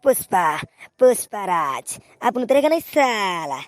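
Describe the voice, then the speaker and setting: A woman voicing a stubborn, swaggering male rebel in a cartoon film parody, with drawn-out, defiant catchphrase delivery. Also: medium pitch, very animated, no room sound.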